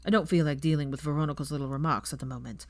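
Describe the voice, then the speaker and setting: A woman's voice: low-pitched, steady tone, very fast, darkish tone, no echo, natural voice. A woman voicing a dry, teasing young wizard man with a mocking, sweary drawl, in a story reading.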